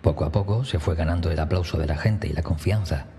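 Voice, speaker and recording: A man's voice: low voice, quick pace, dark tone. A man narrating a scripted first-person horror story as a storyteller.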